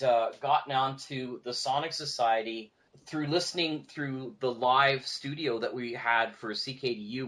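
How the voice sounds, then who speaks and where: A man's voice: mid-range voice, fairly bright tone. Chatty man telling stories, mid-range voice. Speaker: podcast guest, audio drama producer. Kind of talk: casual conversational storytelling.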